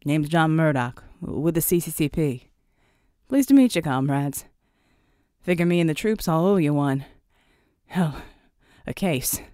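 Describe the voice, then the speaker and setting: A man's voice: fairly high pitch, lively intonation, a little slow, long pauses, darkish tone, clear, resonant tone, no room sound, studio quality, pitched below the performer's own voice. Woman playing a folksy, clipped American soldier guy. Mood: wry, friendly. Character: tough, plain-talking American soldier, freshly battered but wry.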